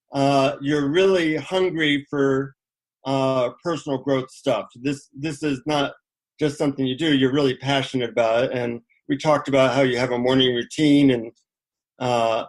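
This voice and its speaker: A middle-aged man talks as a guest author in an interview. His pitch sits in an ordinary middle range, and he tells personal stories informally, pausing as he goes. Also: low-quality recording. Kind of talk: conversational interview, personal anecdotes.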